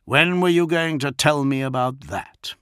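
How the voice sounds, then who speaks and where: A man voicing a weary male commander who reasons in a measured, firm manner. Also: on the high side, fairly bright tone, smooth, dry recording, studio quality, voice pushed up.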